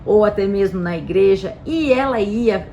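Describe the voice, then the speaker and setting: A woman's voice: medium pitch, normal speed, muffled recording, slight background noise. A woman with a Brazilian Portuguese accent explaining things casually to camera in a chatty way, often tagging her sentences with "né" and "tá". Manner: chatty, frequent "né" and "tá" tags, animated emphasis. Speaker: Italian citizenship specialist presenting a channel.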